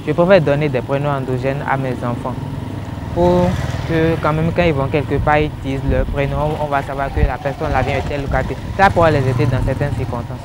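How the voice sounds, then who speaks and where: Male from Benin, quick explanatory vox pop over street noise. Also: mid-range voice, fairly even intonation. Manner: explains with examples. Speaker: street interviewee. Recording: outdoor street interview with traffic.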